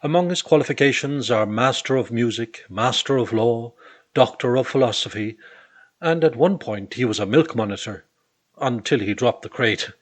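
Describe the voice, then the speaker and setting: A man giving a wry, scripted introduction of a performer in a deadpan manner. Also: medium pitch, moderate pace. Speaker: announcer introducing readers.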